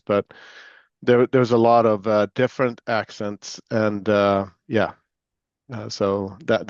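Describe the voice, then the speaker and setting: A man from Sweden with a Swedish accent blended with American Southern tones, answering interview questions in a relaxed, filler-filled way. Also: fairly low pitch, clean tone, no room sound, muffled recording. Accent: Swedish mixed with American Southern. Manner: relaxed, many fillers and restarts. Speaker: guest, security executive.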